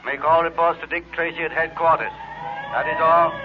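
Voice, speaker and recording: An actor playing an official, emphatic police dispatcher, reading bulletins in a formal, clipped way in an old radio drama. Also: male voice, on the high side, steady tone, moderate pace, natural voice. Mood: urgent, official. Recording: muffled, noisy old radio recording.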